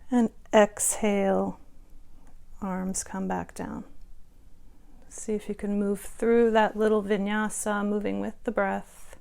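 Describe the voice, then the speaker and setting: A woman yoga instructor speaks at a very slow pace, leaving space between her cues. Her voice sits at a medium pitch with a noticeable creak. She guides movement and breath in a soothing, unhurried manner. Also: flat delivery, darkish tone. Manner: soothing, unhurried cueing with long pauses.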